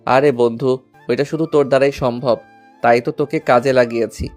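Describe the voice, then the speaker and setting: Lovestruck schoolboy, eager and grateful, acted by a man, mid pitch, light music under an audio drama. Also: fairly even intonation, fairly quick, a little mellow, lightly acted.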